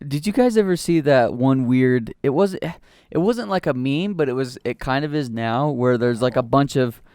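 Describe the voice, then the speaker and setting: Man, smooth voice, rambling and jokey, podcast banter, dry mic. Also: mid-range voice, normal speed, darkish tone, no echo. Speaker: podcast co-host. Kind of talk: casual chat. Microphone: close desk mic.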